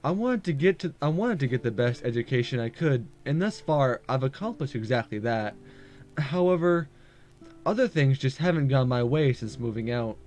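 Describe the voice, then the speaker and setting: A man's voice: medium pitch, animated, normal speed, darkish tone, lightly acted. A man voicing a lonely, homesick university student in introspective, polite visual novel narration. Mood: wistful. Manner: introspective first-person narration, polite and subdued. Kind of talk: visual novel read-aloud. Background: music.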